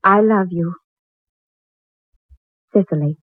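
A woman's voice: lowish voice, slow pace, no echo. An actress playing a devoted, anxious young woman in love, with the earnest, tender melodrama of 1940s radio acting. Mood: worried. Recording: tinny, band-limited 1940s radio recording with music.

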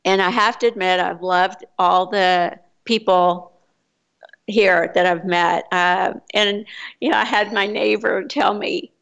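Woman, Texas accent, casual expat vlogger, gravelly fry, chatty and rambling. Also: mid-range voice, fairly even intonation, slow, a bit bright. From United States. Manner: chatty, rambling with fillers and restarts. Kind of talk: casual chat.

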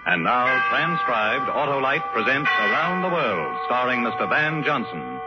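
A man's voice: medium pitch, sing-song, unhurried. A man with an American accent reading scripted announcements and sponsor copy in 1950s radio announcing style. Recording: muffled 1950s radio broadcast, some music.

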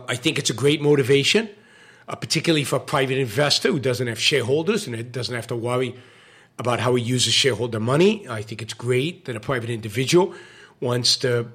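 A man's voice: mid-range voice, fairly quick, fairly bright tone, husky. A man hosting a live-stream show, giving opinionated commentary with many fillers and restarts, his voice starting to go. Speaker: show host.

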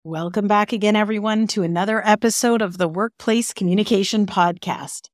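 A woman's voice: medium pitch, fairly even intonation, fairly quick, no echo, studio quality, soft background music. Upbeat woman podcast host. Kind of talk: podcast interview.

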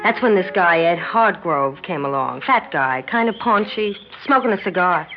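Blunt, guarded young tomboy athlete, muffled radio. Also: female voice, fairly low pitch, lively intonation, moderate pace. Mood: wry, hurt. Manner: blunt, slangy, defensive. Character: brash, guarded nineteen-year-old tomboy athlete, plainspoken and wounded. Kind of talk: 1960s radio drama acting with first-person narration. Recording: muffled old radio recording with music.

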